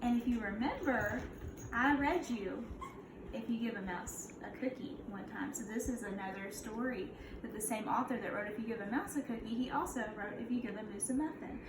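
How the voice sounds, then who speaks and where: Female kindergarten teacher, high-pitched, picture-book read-aloud with questions to the listeners, recorded in an echoey room. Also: brisk. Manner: instructive, prompting listeners with questions. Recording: echoey room, clear background noise.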